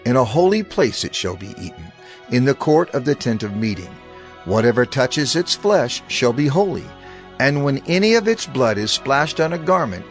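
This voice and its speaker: A male narrator reads scripture formally, with a middle-pitched voice and animated intonation. His measured delivery sits over faint background music.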